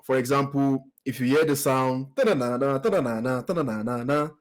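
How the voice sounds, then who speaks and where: A man from Nigeria with a Nigerian accent, hosting a podcast interview in a relaxed, hesitant way with many fillers and repeated words. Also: mid-range voice, brisk. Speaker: podcast host. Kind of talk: conversational interview.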